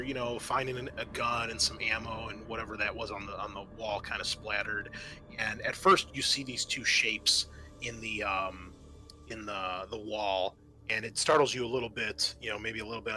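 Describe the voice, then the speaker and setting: A man working as game master, improvising narration for a tabletop roleplaying game in a halting, thinking-aloud way. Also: fairly low pitch, sharp tone.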